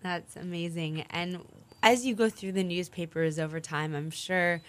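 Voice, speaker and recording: Low-pitched woman interviewer, attentive. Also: fairly even intonation, moderate pace. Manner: attentive, encouraging. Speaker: interviewer host. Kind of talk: interview questions.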